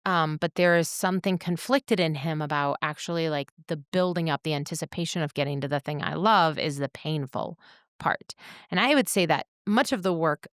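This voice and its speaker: A woman co-hosting a podcast, giving reflective commentary in a measured, thoughtful, coach-like way. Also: low-pitched, no room sound, clean studio sound.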